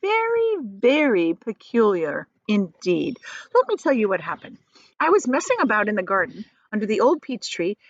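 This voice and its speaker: A woman's voice: on the high side, dry recording, lo-fi sound, pitched above the performer's own voice. A woman voicing a boastful, rascally male centipede in a children's storybook, bragging and teasing.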